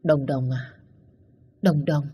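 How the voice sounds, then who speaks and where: A woman voicing a grieving, furious young man whose voice trembles and turns hoarse. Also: very deep voice, fairly even intonation, takes it slowly, warm tone, full character voice.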